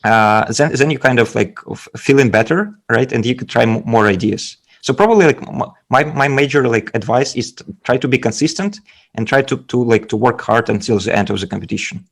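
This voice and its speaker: Halting man with a Belarusian accent. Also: medium pitch, gravelly. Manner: halting, repeats words. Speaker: guest speaker, data scientist. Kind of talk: technical presentation and interview answers.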